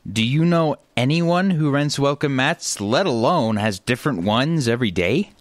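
An eager, inventive brother in a family radio comedy, played by a man. His delivery is animated and swoops around at a middle pitch, recorded close and dry. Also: normal speed, dry recording, natural voice. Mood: matter-of-fact, eager. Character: inventive, eager, helpful brother with odd ideas.

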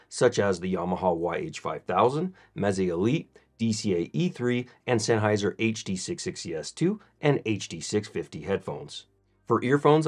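A man with a fairly low voice narrates a scripted review. He presents as a channel reviewer would, moving through the material in a measured and methodical way.

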